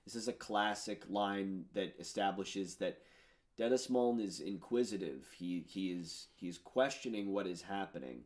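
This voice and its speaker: A man's voice: deep voice, normal speed, a little mellow. A man in his thirties talking casually about his own songs in a home studio, rambling with lots of fillers and enthusiasm. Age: 30s. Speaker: musician discussing his album. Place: home studio with purple lighting.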